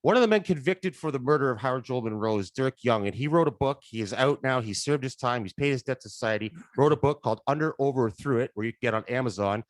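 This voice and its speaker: A man's voice: mid-range voice, expressive, fast, few pauses, no room sound, rough recording. A Canadian man hosting a podcast, introducing the show and asking questions in a casual, friendly way. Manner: casual, friendly, loose with fillers. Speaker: podcast host.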